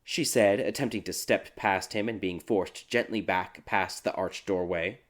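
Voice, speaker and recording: A man narrating a dark fairy tale audiobook in a dramatic, scripted storytelling style. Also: low-pitched, unhurried. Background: background music.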